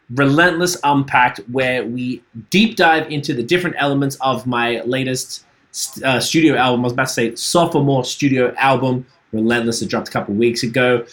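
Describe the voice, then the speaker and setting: A man in his thirties with a Trinidadian accent, hosting a casual podcast chat, relaxed and laughing, swearing freely. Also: medium pitch. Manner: laid-back, swears freely, laughs often. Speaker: podcast host and musician.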